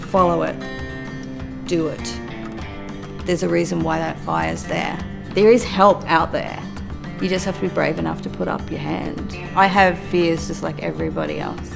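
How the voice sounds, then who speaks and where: A middle-aged Australian woman telling her own story in an earnest, reflective, steady way. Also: low voice, gravelly. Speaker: youth worker and law graduate. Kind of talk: personal testimony for a documentary. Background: soft music.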